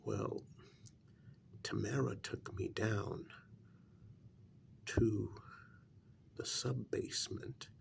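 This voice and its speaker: A man playing a calm, blunt human familiar to a vampire queen in a soft, half-whispered ASMR role-play, speaking formally and deliberately. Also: on the low side, gravelly, slight background noise, heavily acted. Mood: polite, puzzled.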